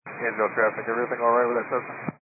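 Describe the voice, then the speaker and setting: Quick-talking man on staticky aviation radio. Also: medium pitch, monotone, phone-quality sound, a little hiss or hum. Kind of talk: brisk aviation radio calls.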